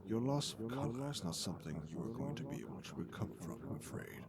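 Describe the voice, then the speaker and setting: A man playing a grave, apologetic doctor in a short film drama, speaking in a measured, formal, explanatory way. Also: medium pitch, lively intonation, over a noisy crowd, close to an everyday voice. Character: grave, apologetic male doctor breaking bad news.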